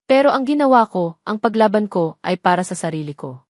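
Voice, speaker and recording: Wronged young wife and mother, steadfast and earnest, acted by a female storyteller. Medium pitch, audio drama dialogue. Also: expressive, fairly quick, a little mellow, no room sound, studio quality, close to an everyday voice. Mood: hurt, defiant.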